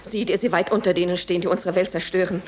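An actress playing a grieving, unyielding widow in a radio play, with a stern, declamatory delivery. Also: female voice, on the low side, fairly even intonation, fast, slight background noise, lightly acted. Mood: stern reproach. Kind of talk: theatrical radio drama acting.

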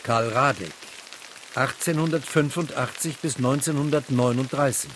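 A man's voice: medium pitch, moderate pace, noisy background, close to an everyday voice. A man narrating a radio drama, making terse, factual announcements of settings and characters. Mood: matter-of-fact.

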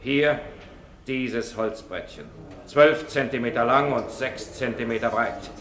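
A man acting a righteous, rational witness in a 1950s courtroom radio drama, speaking in measured, precise, formal sentences. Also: medium pitch, a bit bright, close to an everyday voice. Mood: measured, dramatic. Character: righteous, rational male witness, firm champion of reason. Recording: echoey old radio studio recording.

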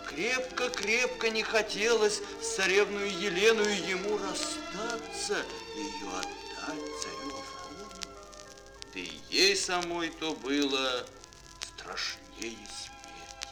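Highly animated, high-voiced male storyteller. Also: slow, rough recording. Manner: dramatic, declamatory, lingering on key words. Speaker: storyteller, narrator. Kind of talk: theatrical fairy-tale narration for children. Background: music.

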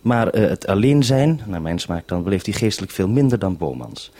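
Male radio presenter, low-pitched and husky, introducing and interviewing. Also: lively intonation, a little fast, warm tone. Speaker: radio host.

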